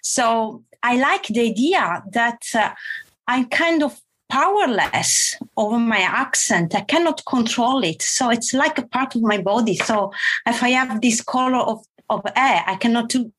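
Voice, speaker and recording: A woman writer with an Italian accent who speaks slowly and searches for her words with many fillers. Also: fairly high pitch, expressive, a bit bright, husky. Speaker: writer, panel guest. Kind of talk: casual chat.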